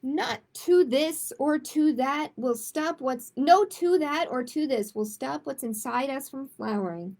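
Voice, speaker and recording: A woman hosting a children's storytime, reading a picture book aloud and chatting casually, with an expressive, emphatic delivery. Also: high-pitched, unhurried, hardly any pauses.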